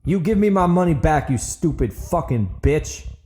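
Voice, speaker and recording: A man playing a violent, profane gang thug who yells menacingly in a frontier audiobook drama. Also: on the high side, lively intonation, moderate pace, soft background music, voice pushed up, natural voice. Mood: furious. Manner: yelling, menacing, profane drawl phrasing.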